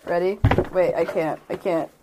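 A woman's voice: on the low side, fairly even intonation, moderate pace. A giggly, playful woman bantering casually with friends as a podcast co-host. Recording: noticeable background noise.

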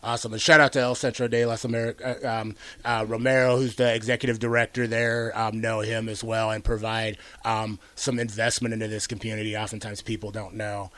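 A man's voice: fairly low pitch, little pitch movement, brisk, hardly any pauses, fairly bright tone, no room sound. A middle-aged man hosting an interview, speaking earnestly and reflectively with many "you know"s. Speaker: host. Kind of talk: radio interview conversation.

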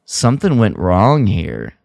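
Slow, low-voiced man podcasting. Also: wildly swooping pitch, creaky, a little mellow, no echo, studio quality. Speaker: podcast co-host. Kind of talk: casual podcast discussion.

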